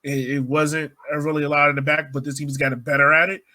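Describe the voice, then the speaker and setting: A man's voice: a little high, flat delivery, quick pace, sharp tone. A man co-hosting a podcast, chatting casually through soccer analysis. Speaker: podcast co-host.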